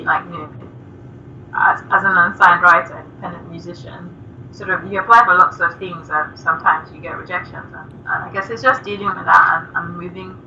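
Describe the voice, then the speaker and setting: A young woman from Nigeria, a musician guest, chatting softly and giggling with lots of fillers. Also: on the low side. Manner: soft-spoken, giggly, with many fillers. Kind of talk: casual interview chat. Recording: distant, dull lo-fi remote call with faint noise.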